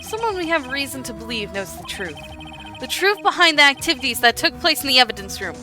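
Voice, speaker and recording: Earnest courtroom-game defense lawyer, played by a woman, fairly high voice, declamatory, music behind. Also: big pitch swings, brisk. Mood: resolute. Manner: emphatic, declamatory.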